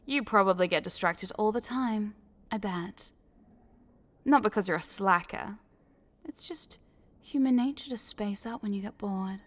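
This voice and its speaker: A woman plays a scheming female corporate boss in an intimate audio roleplay, speaking straight to the listener at a medium pitch. She is smug and controlling, with a businesslike tone that turns teasing and condescending as she coaxes. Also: unhurried, lightly acted. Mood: smug, coaxing. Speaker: voice actor.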